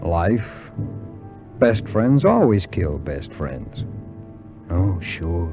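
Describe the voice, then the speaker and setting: An actor playing a cynical, world-weary adventurer who narrates his own tale in a 1950s radio drama, with a wry, dramatic delivery. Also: mid-range voice. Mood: sardonic, wry. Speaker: narrator and lead character. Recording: muffled vintage 1950s radio recording, music behind.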